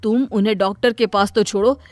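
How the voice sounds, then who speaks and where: A woman's voice: medium pitch, steady tone, fairly quick, darkish tone, no room sound, faint music behind, close to an everyday voice. A woman voicing a stern middle-aged father in a cartoon story, who scolds his spoiled daughter and his wife. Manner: scolding, blunt.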